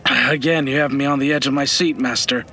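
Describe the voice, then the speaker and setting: A man's voice: mid-range voice, steady tone, brisk, bright, forceful tone, a little hiss or hum. A man playing an eager, respectful pupil in a fantasy audio drama, attentive, curious and polite. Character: eager, respectful male pupil of a lore master.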